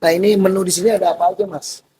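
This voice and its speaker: A hoarse man, excitedly vlogging about street food outdoors at a stall and calling his viewers "guys". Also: sits high, very fast. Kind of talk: casual street-food vlog.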